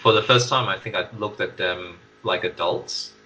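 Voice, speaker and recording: A man's voice: sits low, moderate pace, bright, forceful tone, distant mic, highs cut off, a little hiss or hum. A man and former teacher speaking candidly in a casual interview, with self-mocking humour.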